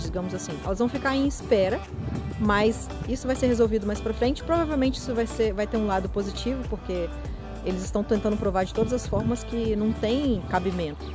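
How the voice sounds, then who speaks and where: A Brazilian woman with a Brazilian Portuguese accent talks to her viewers in a relaxed, explanatory way, stumbling and restarting as she goes. Her pitch sits in an ordinary middle range. Clear background music plays under her voice. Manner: chatty, explains step by step with many restarts. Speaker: vlogger. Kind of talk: casual explainer vlog.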